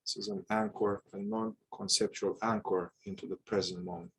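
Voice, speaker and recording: Low, husky male meditation guide. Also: wildly swooping pitch, rough recording. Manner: unhurried, halting short phrases with long pauses. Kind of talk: guided meditation, calm instruction.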